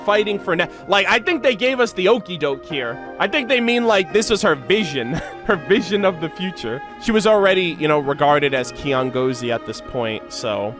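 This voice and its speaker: A man with a somewhat high voice reads game lore with expression and adds casual, slangy reactions. He comes across as an enthusiastic video creator, with music playing clearly behind him. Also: lively intonation, moderate pace.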